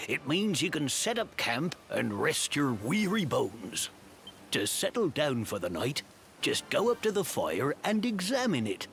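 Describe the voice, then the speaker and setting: A man's voice: medium pitch, gravelly, a bit bright, full character voice. A man playing a hearty, chatty camping enthusiast in a video game, advising adventurers in a folksy, theatrical way. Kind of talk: scripted video game voice acting.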